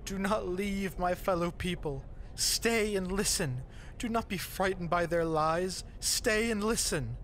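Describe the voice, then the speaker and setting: Man voicing a fervent doomsday priestess, raised and forceful. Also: high voice, measured pace, hardly any pauses, a little hiss or hum, pitched above the performer's own voice. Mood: desperate pleading. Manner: impassioned street preaching, pleading and sneering. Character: fervent, tearful female rogue doomsday priestess.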